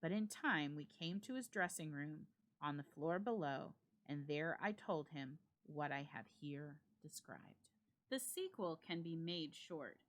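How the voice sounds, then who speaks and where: A woman storyteller giving a suspenseful, expressive dramatic reading of a ghost story with deliberate phrasing. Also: deep voice. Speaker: storyteller, library reader.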